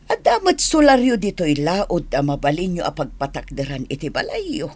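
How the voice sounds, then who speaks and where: A woman's voice: deep voice, huge pitch swings, moderate pace, no echo, heavily acted. A woman playing a hospitable, fussing middle-aged auntie in a radio drama, with an Ilocano accent.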